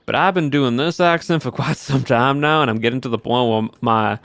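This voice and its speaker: A man's voice: mid-range voice, fairly quick, a bit bright, smooth. A man with a put-on American Southern accent and a lax drawl that draws out sentence endings, chatting casually on a game stream. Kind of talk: casual gameplay livestream chat.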